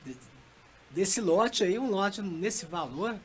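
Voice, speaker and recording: A man with a Minas Gerais accent hosts a web radio interview, his voice sitting fairly high. He talks agreeably, backing up his guest with short affirmations and slow, searching phrases. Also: animated. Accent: Minas Gerais Portuguese. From Brazil. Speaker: radio host.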